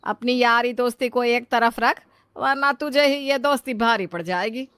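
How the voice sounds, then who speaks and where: Woman acting chiding mother-in-law, higher-pitched. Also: normal speed, a bit bright, clean tone, no room sound, clean studio sound, lightly acted. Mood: scolding. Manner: chiding, lecturing. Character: scolding, worldly-wise older mother-in-law. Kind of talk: acted Hindi moral-story cartoon dialogue.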